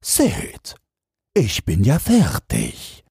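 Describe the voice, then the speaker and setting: A man puts on a pompous, insecure emperor from a fairy tale. The speech is drawn out and very slow, swinging widely in pitch with a raspy, breathy tone. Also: huge pitch swings, dark tone, dry recording, studio quality, heavily acted. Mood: pompous approval. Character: vain, insecure emperor, pompous but secretly worried. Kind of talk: fairy-tale audiobook character voice.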